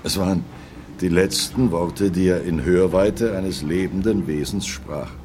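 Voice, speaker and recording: A low-pitched old sailor, loyal and grieving, tells his memories in a radio play. He broods and repeats himself, with some ambient noise behind him. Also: fairly even intonation, unhurried, darkish tone. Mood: mournful reminiscing. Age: older. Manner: ruminative, reminiscing, repeats himself. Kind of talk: radio play monologue, storytelling.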